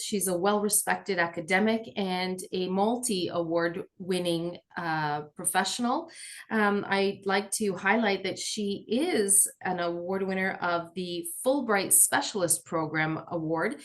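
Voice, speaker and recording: Woman podcast host, mid-pitched. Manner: scripted introduction, then conversational. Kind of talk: podcast interview.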